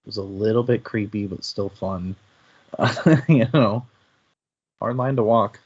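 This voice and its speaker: A man's voice: lowish voice, measured pace, a little mellow, dull audio. A man talking casually and thoughtfully as a panelist in a book discussion, analysing as he goes.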